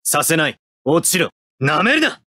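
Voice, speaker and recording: Cold young anime mecha pilot for a game, clipped military lines, pitch moves a lot, very dry sound. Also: male voice, very animated, unhurried, sharp tone, no room sound, heavily acted. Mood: fierce, shouting effort. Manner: terse, clipped military delivery. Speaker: video game voice actor.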